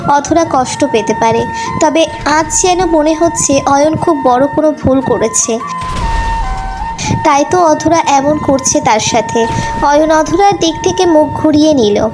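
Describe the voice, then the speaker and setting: High-pitched woman narrating a love story. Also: unhurried, low-quality recording. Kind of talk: scripted romantic story narration. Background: background music.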